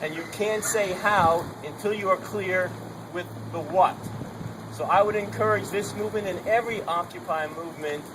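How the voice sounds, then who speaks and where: A man's voice: sits high, normal speed. A man with a strong, projecting voice giving an unamplified speech at an outdoor protest gathering, emphatic and urging, driving his points home through repetition. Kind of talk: unamplified open-mic speech. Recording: noisy outdoor recording.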